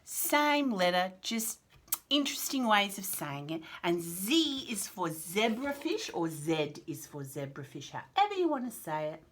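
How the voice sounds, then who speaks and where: Animated woman teaching little children. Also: mid-range voice, unhurried. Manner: encouraging, sing-song, exaggerated enunciation for small children. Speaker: children's storyteller and host. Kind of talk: read-aloud picture book lesson for toddlers, chatty asides.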